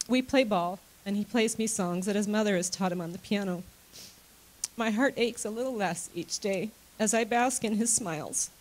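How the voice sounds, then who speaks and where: A woman's voice: medium pitch, natural voice, poor audio. A woman playing a devoted, widowed grandmother in a reader's theater monologue, speaking in an earnest, sentimental, reflective way.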